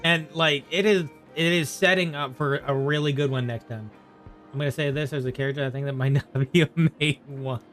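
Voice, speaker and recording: A man talking casually to viewers as a game streamer, in a halting, conversational way, often repeating himself. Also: a little high, steady tone, a bit bright. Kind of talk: casual live stream chat while gaming. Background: faint game music.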